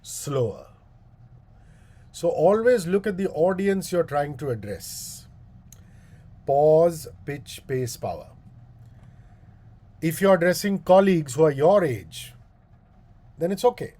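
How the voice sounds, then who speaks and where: Slow, booming man with a deep, mellow tone. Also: very animated. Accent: Indian English. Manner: slow, deliberate delivery with long pauses and repeated demonstrations. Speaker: guest speaker. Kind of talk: public speaking workshop talk.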